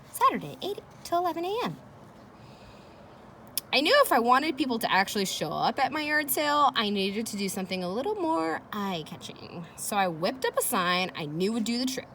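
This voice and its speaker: A woman reads a children's chapter book aloud with lively, swinging intonation. She addresses young listeners directly in a chatty, engaging manner. Her voice sits at a middling pitch, with faint noise behind it. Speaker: read-aloud host.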